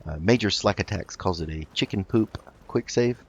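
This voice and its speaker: A man's voice: lowish voice, moderate pace, breathy edge, dull audio. A man giving casual video game commentary, thinking aloud in a hesitant way as he plays. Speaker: host of a video game roleplay let's play.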